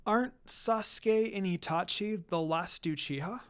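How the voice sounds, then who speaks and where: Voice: mid-range voice, unhurried, clean tone, lightly acted. A narrator voicing a polite, inquisitive young woman ninja student, deferential in manner, in a read-aloud story. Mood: hesitant, curious.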